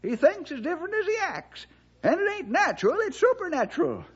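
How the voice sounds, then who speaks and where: An actor playing an excitable old cowboy sidekick with folksy, rustic speech in a radio adventure drama. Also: male voice, extremely high pitch, brisk, exaggerated voice. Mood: earnest. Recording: dull lo-fi radio recording.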